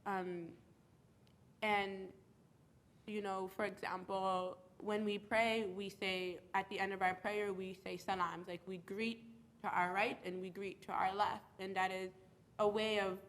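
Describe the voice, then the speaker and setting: A woman's voice: medium pitch, level tone, unhurried, fairly bright tone. A woman from the Bronx, stuffy from a cold, speaking earnestly and reflectively as a panelist. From United States (the Bronx, New York). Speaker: panelist, community organizer. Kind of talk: panel talk.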